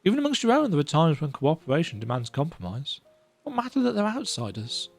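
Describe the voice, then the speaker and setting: A woman voicing a weary, earnest young male imperial soldier who speaks in a reflective, softly resigned way. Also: low-pitched, very animated, fast talker, soft background music, exaggerated voice.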